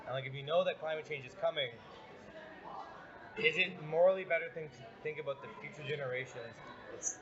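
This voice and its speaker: A man hosting a podcast, chatting casually and emphatically at a busy campus bar, rambling with lots of fillers, recorded on a phone. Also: mid-range voice. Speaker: podcast host. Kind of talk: casual tipsy chat among friends. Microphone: phone on the table. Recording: lo-fi phone recording in noisy crowd. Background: crowd chatter.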